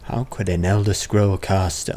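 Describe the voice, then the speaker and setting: A man's voice: low-pitched, steady tone, unhurried, a little mellow. A man reading a game hero's questions aloud as he plays. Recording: faint background noise.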